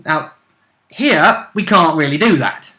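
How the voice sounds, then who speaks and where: A man's voice: fairly high pitch, expressive, slow pace, sharp tone. A man co-hosting a tabletop role-play show, explaining in casual, rambling chat and stumbling over his words.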